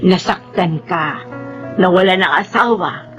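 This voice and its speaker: A woman's voice: lowish voice, unhurried, natural voice. A woman playing a caring, anxious middle-aged mother, emotive and pleading, in a Filipino radio drama. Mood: consoling. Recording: faint music and crowd behind.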